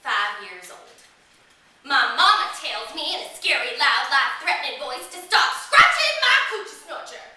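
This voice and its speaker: A woman performing a dramatic stage monologue as a woman reliving girlhood memories, childlike and candid, with emphatic delivery, drawn-out words and pauses. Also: high voice, bright, forceful tone, full character voice. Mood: frightened, childlike. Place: small theatre stage. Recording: echoey theatre, recorded from a distance.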